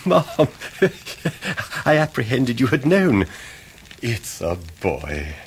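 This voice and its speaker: An actor playing a mild, nervous, deferential English country doctor, hesitant and formal, in a radio drama. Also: male voice, medium pitch, wildly swooping pitch, drawn-out words, a little hiss or hum, full character voice.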